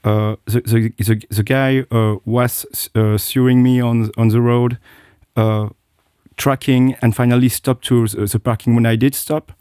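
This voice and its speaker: A man's voice: mid-range voice, flat delivery, measured pace, mellow, smooth, no room sound, studio quality. A middle-aged man, a guest telling his personal story with many hesitations and restarts. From South Korea. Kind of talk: interview, personal account.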